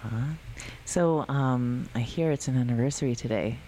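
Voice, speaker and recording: A woman hosts a radio interview from a studio. Her voice is extremely low, and her speech is halting, full of ums and uhs. A faint hiss runs underneath. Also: mellow. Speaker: radio host.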